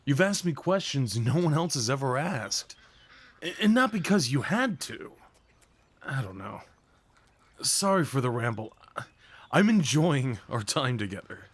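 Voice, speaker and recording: A man acting as a sweet, nervous gentleman on a first date, in an intimate audio roleplay. He speaks at a middling pitch, tender and earnest. His manner is hesitant and apologetic, as if unsure of himself. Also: husky. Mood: fond, admiring.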